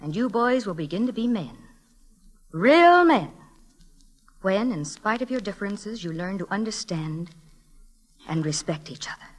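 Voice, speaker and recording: A woman's voice: mid-range voice, big pitch swings, a little slow, pauses often. A woman playing a strict but caring schoolteacher in a 1940s radio drama, speaking earnestly with formal emphasis. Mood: earnest, firm. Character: stern but caring, principled female schoolteacher.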